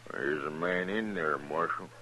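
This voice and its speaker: Low-voiced, folksy stable keeper. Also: male voice, unhurried, sharp tone, full character voice. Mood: wary. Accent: American, rural western. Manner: clipped, folksy phrases. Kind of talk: 1950s radio western acting. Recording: noisy old radio drama recording.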